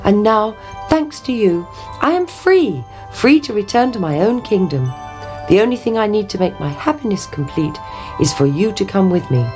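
A woman's voice: mid-range voice, sing-song, moderate pace, music in the background, pitched above the performer's own voice, close to an everyday voice. A woman voicing a grateful young prince in a storybook tale, speaking earnestly.

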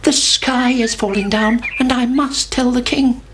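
Tiny worried male chick for a fairy tale female performer, high, earnest voice, chirping in background. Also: steady tone, measured pace, noisy background, lightly acted. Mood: alarmed. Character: anxious, breathless little male chick.

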